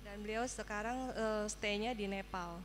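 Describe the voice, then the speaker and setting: A woman's voice: medium pitch, steady tone, moderate pace. A woman from Indonesia working as a live interpreter, relaying a religious talk sentence by sentence. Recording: faint electrical hum.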